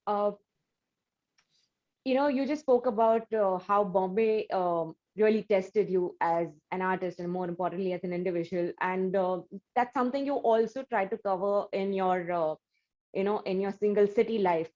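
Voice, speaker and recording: A woman's voice: mid-range voice, normal speed, darkish tone, lo-fi sound. A woman hosting an interview, asking winding questions with fillers. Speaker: interview host.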